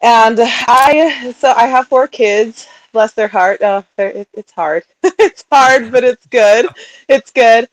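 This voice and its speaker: A woman's voice: fairly high pitch, slow, no echo, poor audio. A woman in her thirties, a guest in a casual interview, chatting about her life with frequent laughter. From Utah, raised also in Sweden and England. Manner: chatty, laughs often, warm storytelling. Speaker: guest, coaching client.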